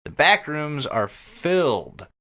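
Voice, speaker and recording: Lively, bright-voiced man on a podcast. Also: a little high, slow pace. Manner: profane, joking. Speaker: podcast co-host. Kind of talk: casual comedic podcast chat.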